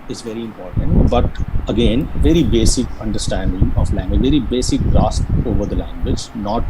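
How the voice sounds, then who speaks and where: A lower-pitched man with a Bihari-Jharkhand accent lectures earnestly on interview skills. He restarts and repeats phrases as he explains each point. A steady air-conditioner hum and clear background noise sit under his voice. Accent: Bihari-Jharkhand Indian English. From Jharkhand, India. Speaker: career guidance speaker.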